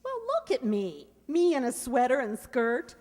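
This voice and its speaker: A woman's voice: high-pitched, wildly swooping pitch, unhurried, darkish tone, exaggerated voice. A woman playing a wisecracking, weary young working woman in old-time radio comedy, wry and exclamatory. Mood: shy, delighted. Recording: echoey hall, audible background noise.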